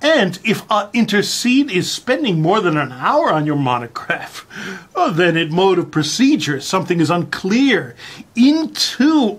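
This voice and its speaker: A person giving a mock-dramatic reading with theatrical, exaggerated emphasis and dramatic pauses. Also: mid-range voice.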